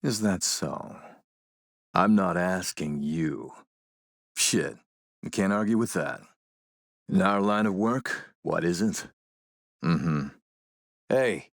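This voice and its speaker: Low-voiced ruthless warlord guy, courtly and menacing, slow talker, game dialogue. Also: lively intonation, gravelly, no echo, studio quality, full character voice. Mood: suave, wry. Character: ruthless, suave, middle-aged warlord talking business. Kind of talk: video game character voice acting.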